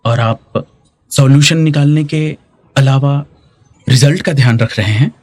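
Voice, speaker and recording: A man voicing a shrewd, measured businessman in a dubbed drama. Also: mid-range voice, darkish tone, dry recording, natural voice. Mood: measured, appraising.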